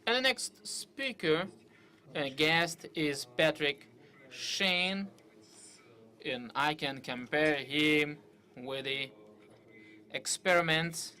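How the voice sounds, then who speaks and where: A man, moderating a conference session and introducing the next speaker from a panel table in a large hall, in a measured, formal manner. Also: fairly high pitch, bright, forceful tone. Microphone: table microphone.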